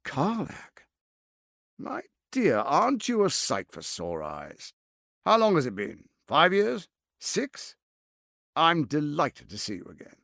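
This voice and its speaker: A man's voice: a little high, wildly swooping pitch, slow pace, vocal fry, fairly bright tone, no room sound, studio quality, exaggerated voice. A man playing a suave, scheming, arrogant tyrant lord in a video game, with a pompous, silky, theatrical delivery. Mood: mock affection.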